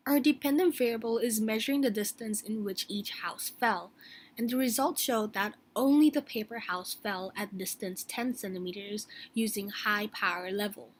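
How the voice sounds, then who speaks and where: A woman's voice: medium pitch, slight background noise. A young woman, a student, reads a scripted narration for a school project. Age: teens to young adult. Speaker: student presenter. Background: background music.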